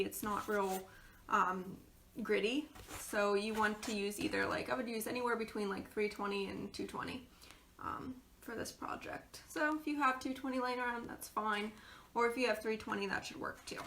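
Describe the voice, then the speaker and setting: A woman's voice: on the high side, a bit bright. A woman from Pennsylvania hosting a casual live craft tutorial, chatty and rambling, losing her voice. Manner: chatty, rambling with fillers and asides. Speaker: craft video host. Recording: echoey room with background noise.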